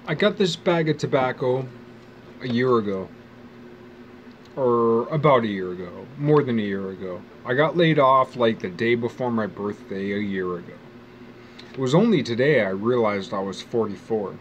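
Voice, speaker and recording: A man's voice: medium pitch, lively intonation, normal speed, lo-fi sound, slight background noise. Musing, profane man in his forties. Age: 40s. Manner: casual, profane, wry, musing aloud. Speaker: vlogger. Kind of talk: rambling personal monologue. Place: outdoors near a bench.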